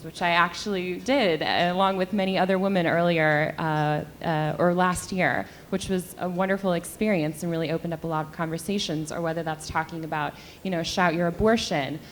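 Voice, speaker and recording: Young woman, lowish pitch, candid panel talk, fillers, faint background hiss. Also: steady tone, normal speed, hardly any pauses. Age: young adult. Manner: candid, conversational, frequent fillers. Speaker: panelist, sex and relationship editor. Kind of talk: panel discussion remarks. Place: luncheon event hall.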